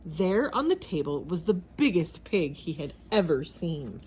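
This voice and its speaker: A woman reading a children's storybook aloud in a dramatic, expressive narrating style. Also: fairly low pitch, measured pace, background noise.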